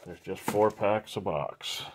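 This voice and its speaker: Slow, low-voiced man opening card packs. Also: background noise. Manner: slow, unhurried, matter-of-fact listing. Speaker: card breaker host. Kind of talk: casual pack-opening commentary.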